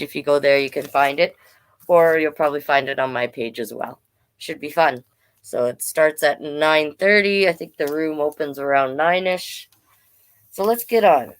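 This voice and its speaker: A woman with a Canadian accent reading picture books aloud to children, playful and expressive, with chatty asides. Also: sits low. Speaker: drag performer hosting children's storytime.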